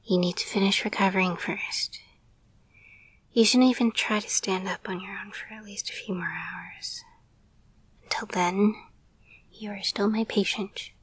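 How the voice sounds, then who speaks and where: A woman playing an affectionate, playful girlfriend and caretaker in an intimate roleplay audio, speaking in a soft, breathy whisper with a gentle, hesitant murmur. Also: medium pitch, steady tone, unhurried, pauses often, dry recording. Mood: teasing, affectionate.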